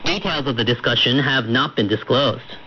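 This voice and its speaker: Bright-voiced male news anchor on muffled shortwave. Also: medium pitch, normal speed. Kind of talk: scripted news reading. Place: radio studio, heard through shortwave reception. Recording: muffled shortwave radio broadcast with static.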